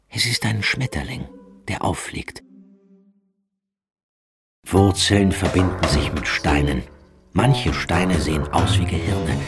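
Tiefer, eindringlicher Erzähler eines Hörspiels. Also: male voice, rumbling low, heavily acted. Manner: intense, incantatory, swinging from hushed to forceful. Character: grave male narrator of a visionary, nightmarish tale. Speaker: narrator. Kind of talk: dramatic literary narration in a radio play.

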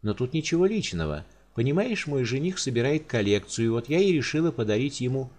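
A man voicing a spoiled, callous young noblewoman in an audiobook, speaking high, shrill and haughty. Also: sing-song, brisk, a little mellow. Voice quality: shrill and indignant.

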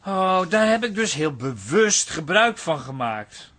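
Earnest, high-voiced husky male artist. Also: sing-song, a little slow, fairly bright tone, full character voice. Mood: earnest, flustered. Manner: pedantic, flustered, stammering. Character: earnest, exasperated male artist explaining his blurry paintings. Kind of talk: Dutch radio play acting.

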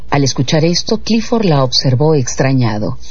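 A man's voice: a little high, big pitch swings, moderate pace, highs cut off, background noise. A man giving scripted, dramatic narration of a novel as the narrator of a radio production.

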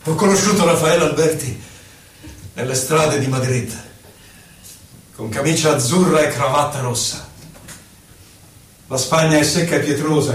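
An actor playing a solemn, impassioned poet who bears witness to the war dead, declaiming in a measured theatrical recitation. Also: male voice, fairly high pitch, a little hiss or hum, natural voice. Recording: very echoey, distant, music accompaniment.

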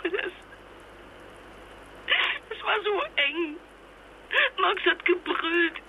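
A woman's voice: very high-pitched, drawn-out words, phone-quality sound, slight background noise, exaggerated voice. A woman acting a distraught young mother in a German radio drama, stammering and repeating herself in broken phrases. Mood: traumatized, distraught. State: distraught, shaken. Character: traumatized young mother who survived a capsized boat.

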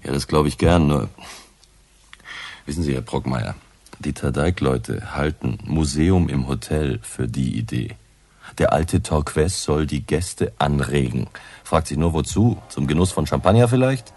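A man's voice: a bass voice, expressive, unhurried, darkish tone, no room sound, full character voice. A man playing a nervous, disdainful senior town official in a radio crime drama, brusque and dismissive. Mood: tense, impatient.

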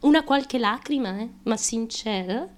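An actress playing a fame-hungry, fragile and determined young woman in an intense confessional stage monologue, with a deliberate, emphatic and halting delivery. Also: on the high side, close to an everyday voice. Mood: dreamy, confiding. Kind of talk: intense theatrical monologue, confessional stream of consciousness.

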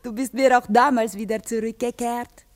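Eine Schauspielerin spielt in einem deutschen Hörspiel eine anhängliche, eifersüchtige junge Geliebte, theatralisch schmollend. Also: female voice, on the high side, fairly even intonation, lightly acted. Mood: teasing, possessive. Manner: theatrical, pouting, drawn-out delivery with breathy whispers. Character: clingy, coquettish, jealous young actress mistress. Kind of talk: German radio play stage-comedy acting.

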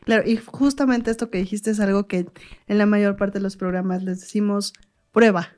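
A woman's voice: medium pitch, fairly even intonation, moderate pace, a little mellow. Mexican woman hosting a radio chat. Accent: central Mexican. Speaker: radio host. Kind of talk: casual radio interview hosting.

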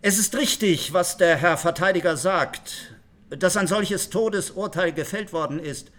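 An actor playing a punctilious public prosecutor, arguing formally and emphatically before a court in a radio drama. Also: male voice, high-pitched, measured pace, a bit bright. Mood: insistent. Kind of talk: radio play courtroom speech.

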